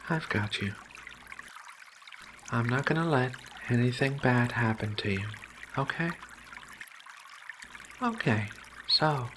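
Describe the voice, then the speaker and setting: Man voicing a slow, gentle feline alien woman. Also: mid-range voice, background noise. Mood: reassuring. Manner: soothing, hesitant, slow reassuring delivery. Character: gentle giant feline alien researcher Ragna, female, caring. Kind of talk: ASMR roleplay.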